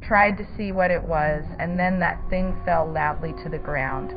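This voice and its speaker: A woman's voice: deep voice, normal speed. A woman in her twenties playing a casual, rattled eyewitness in an analog horror interview. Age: 20s. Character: shaken young female eyewitness, casual local. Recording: muffled lo-fi track under music.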